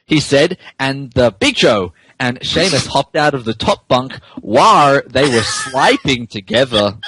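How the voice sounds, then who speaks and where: Husky, slow-paced man reading comically. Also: medium pitch, lively intonation, sharp tone, no echo, low-quality recording. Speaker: podcast co-host narrating a story. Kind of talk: comic dramatic reading of fan fiction.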